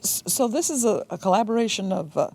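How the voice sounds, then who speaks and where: Mellow American woman hosting. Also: medium pitch, lively intonation, fast talker, vocal fry. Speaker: television magazine show host. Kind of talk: interview hosting.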